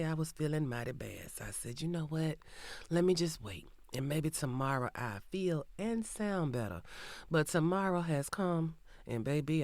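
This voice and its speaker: A woman's voice: low-pitched, darkish tone, no echo. A woman in her forties with a Southern American accent hosting a podcast, sick with a cold and a little nasal, chatting in a sassy, slangy way from a recording booth. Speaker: podcast host. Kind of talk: casual true crime podcast intro.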